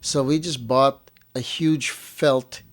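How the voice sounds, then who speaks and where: Medium-pitched man explaining casually. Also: steady tone, slow. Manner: informal, repeats and rephrases to clarify. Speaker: show co-host.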